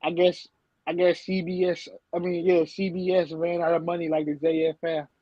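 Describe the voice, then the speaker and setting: Guy joining by call, relaxed and halting, with a dead-dry, muffled sound. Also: a little high, no room sound. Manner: relaxed, hesitant, repeats words. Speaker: guest. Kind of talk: casual chat on a call.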